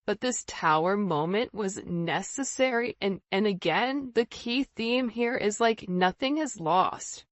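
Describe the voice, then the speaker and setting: A woman's voice: medium pitch, animated, measured pace, few pauses, dry recording. A woman giving an informal tarot reading straight to her viewers, speaking emphatically and conversationally with rhetorical questions. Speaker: tarot reader, channel host.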